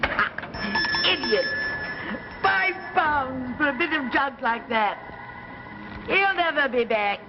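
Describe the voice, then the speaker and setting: An actress playing a scornful, scolding shopkeeper's wife in a 1950s drama, sharp and dismissive, in an old broadcast recording. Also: female voice, high-pitched, lively intonation, slow, long pauses, muffled recording, very noisy, full character voice. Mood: outraged. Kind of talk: 1950s television anthology drama acting.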